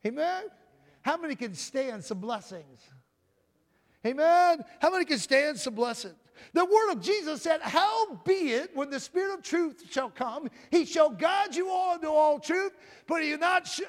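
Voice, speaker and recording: A man preaching a sermon with a loud voice, often calling out "Amen?" and swinging from booming to soft. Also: expressive, unhurried. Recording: long hall reverb.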